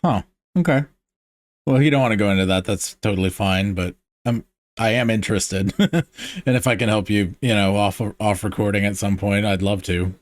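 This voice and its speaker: A man's voice: lowish voice, normal speed, no room sound. A man hosting a casual podcast conversation, talking hesitantly with many fillers and restarts. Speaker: podcast host. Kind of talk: casual group chat.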